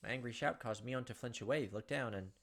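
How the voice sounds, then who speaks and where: A man's voice: medium pitch, brisk, vocal fry. A man hosting a gaming video, reading visual novel narration and commenting casually.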